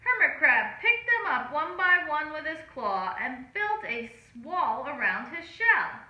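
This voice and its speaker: A woman reading a picture book aloud to children in an animated, deliberate storytelling manner. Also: high-pitched, highs cut off. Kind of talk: expressive children's read-aloud. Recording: roomy, echoing room.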